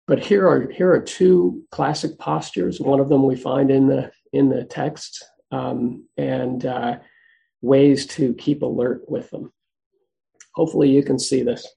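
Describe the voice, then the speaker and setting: A soft-spoken man teaches meditation, pausing often as he gently explains each posture. His low-energy voice is dark and mellow, with a gravelly edge at the bottom of his range. He talks at an easy, patient pace. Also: steady tone, rough recording. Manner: gentle, unhurried, with frequent pauses and fillers. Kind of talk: guided meditation instruction.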